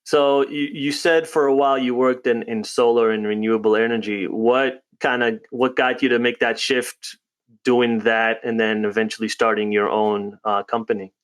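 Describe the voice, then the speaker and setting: A man's voice: mid-range voice, steady tone, normal speed, a bit bright, clean tone. A man hosting a casual podcast interview, chatty and upbeat. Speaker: podcast host.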